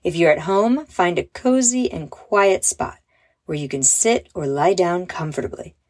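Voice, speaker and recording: A woman leading a guided meditation for children in a soft, breathy voice, with a soothing, gentle and encouraging manner. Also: fairly low pitch, lively intonation, measured pace, no room sound, clean studio sound. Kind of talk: guided meditation, scripted narration.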